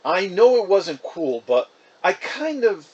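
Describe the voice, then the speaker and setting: A man reading a children's novel aloud as expressive, conversational first-person narration. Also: sits high, slow pace, lo-fi sound.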